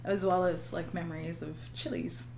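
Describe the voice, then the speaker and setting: Soft-spoken woman podcast guest. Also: on the low side, normal speed, vocal fry, slight background noise, low-quality recording. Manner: soft-spoken, relaxed, laughs often. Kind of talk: casual chat.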